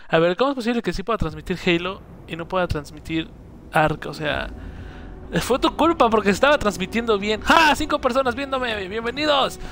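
A man's voice: high-pitched, lively intonation, normal speed, fairly bright tone. Young man streaming a game. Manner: teasing, playful insults. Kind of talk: casual gaming livestream banter.

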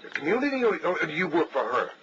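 An actor playing a hostile, defensive husband in an audio drama, halting and confrontational. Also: male voice, fairly high pitch, big pitch swings. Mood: agitated, bitter. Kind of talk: naturalistic audio drama acting. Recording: dull field recorder tape, faint noise.

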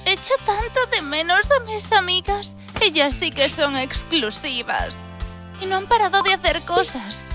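A girlish, sensitive cartoon puppy who lives as an influencer. She has a high Castilian Spanish voice with very lively intonation, over music. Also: unhurried, heavily acted. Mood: regretful. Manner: expressive, emotive. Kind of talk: animated cartoon dub. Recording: studio voice under background music.

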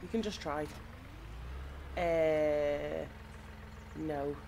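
A woman with a slightly croaky voice from hay fever, chatting casually and thinking aloud as she plays. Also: deep voice, fairly even intonation, very slow, warm tone. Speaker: gaming channel host. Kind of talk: casual gameplay commentary. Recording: audible background noise.

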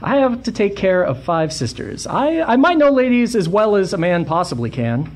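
A woman's voice: mid-range voice, very animated, normal speed, a little mellow. A woman playing a folksy, talkative young delivery boy in a 1940s-style radio adventure. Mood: earnest.

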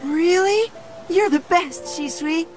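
A woman's voice: extremely high pitch, slow pace, soft background music. A woman voicing an eager little boy, an adoring younger brother, whiny and pleading, in an anime dub. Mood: excited.

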